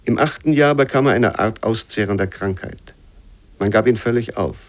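A man reading a bleak childhood memoir as a quoting voice in a radio essay, measured and sober. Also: lowish voice, natural voice. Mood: sober, grave. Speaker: reader of literary quotations. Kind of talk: scripted literary reading for radio.

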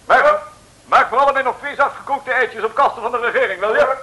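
An actor in a 1950s radio serial playing a breezy, tactless colonel who talks in a jovial, patronising way and repeats himself. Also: male voice, extremely high pitch, a little fast, pushed and bright, husky, exaggerated voice, rough recording. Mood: chummy.

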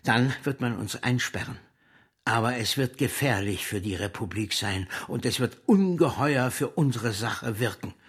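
An actor playing an ardent, proud male socialist leader in a German radio drama, fervent and slightly husky. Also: medium pitch, lively intonation, normal speed. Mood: defiant.

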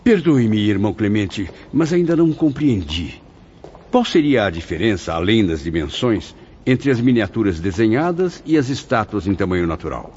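An actor playing an earnest, inquisitive apprentice spirit in a radio drama, with formal, literary delivery. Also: male voice, fairly low pitch, sing-song, moderate pace, darkish tone, a little hiss or hum. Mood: curious, puzzled. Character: earnest, inquisitive male apprentice spirit recounting his memories. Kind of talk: radio drama acting, literary first-person narration. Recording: dull lo-fi audio. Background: clear background music.

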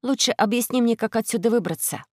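A woman voicing a sensible, brave young girl with a quiet, matter-of-fact manner in a children's story. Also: fairly high pitch, fast, no room sound, studio quality, natural voice. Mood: thoughtful, practical.

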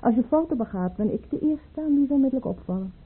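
An actress playing a proud, coquettish, insistent baroness in an old-fashioned radio drama, arch and theatrical, with a husky, breathy voice. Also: female voice, sits high, lively intonation, quick pace, exaggerated voice. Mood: probing. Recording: muffled, phone-like old radio recording.

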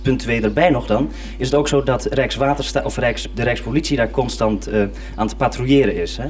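A man's voice: mid-range voice, brisk, a little mellow. A man explaining things informally on the radio as a programme maker. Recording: distant, slightly noisy old radio recording.

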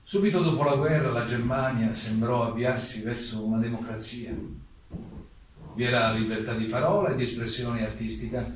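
An actor playing an exiled German playwright giving testimony about his life in a measured, declamatory theatrical monologue. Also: medium pitch, natural voice. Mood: solemn, measured. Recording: very echoey, distant mic, faint noise.

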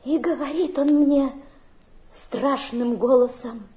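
An actress playing an overwrought, dreamy, tearful young fallen woman in a stage drama, with a drawn-out melodramatic delivery. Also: high-pitched, dark tone. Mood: dreamy, rapturous. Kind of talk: theatrical stage acting. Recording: archive stage drama recording.

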